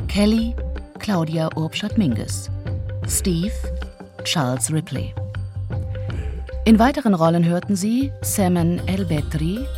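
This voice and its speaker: A woman announces closing credits in a scripted style. Her pitch is a little low and her pace very slow, with prominent music behind her.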